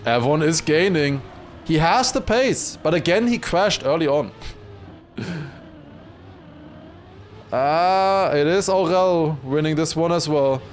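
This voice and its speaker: A man livestreaming excited esports commentary mixed with casual chat, raising his voice to a shout at big moments. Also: a little high, slow pace, long pauses, slight background noise. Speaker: esports watchparty streamer and commentator.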